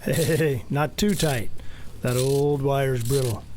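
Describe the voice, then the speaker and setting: An actor playing an easygoing, wry cowboy sidekick in an audio drama, with a casual way of talking. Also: male voice, medium pitch, slow, a little mellow, a little hiss or hum, lightly acted. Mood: wary.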